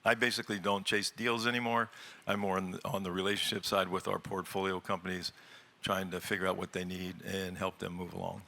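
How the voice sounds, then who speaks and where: Gravelly low-voiced investor man. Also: flat delivery, fairly quick, pushed and bright. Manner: plainspoken, repeats words as he thinks. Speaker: seed-stage investor, panel guest. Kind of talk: panel discussion.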